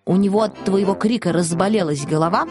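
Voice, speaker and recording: A woman's voice: mid-range voice, fairly quick, over music, lightly acted. A woman voicing a grumpy, stern young male kitten in a children's audiobook. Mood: stern, bossy. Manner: gloomy muttering, stern declaring.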